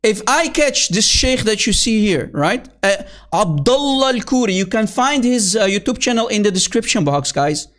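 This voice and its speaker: A man's voice: high voice, animated, normal speed, few pauses, a bit bright. A man hosting an online debate, heated and scornful, calling opponents names and often addressing viewers as guys and brother. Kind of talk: combative online debate commentary. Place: at his computer.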